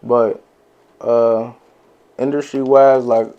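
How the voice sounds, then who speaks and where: Young man chatting casually, mid-pitched voice. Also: level tone, slow pace, warm tone, muffled recording. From Pittsburgh, United States. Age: early 20s. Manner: slangy. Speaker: guest music artist. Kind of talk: casual chat.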